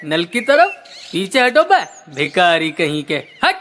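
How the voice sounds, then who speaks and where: A woman playing a rude, quick-tempered village man in a horror story, with a brash, scolding rustic manner. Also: lowish voice, big pitch swings, fairly bright tone, a little hiss or hum. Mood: bullying.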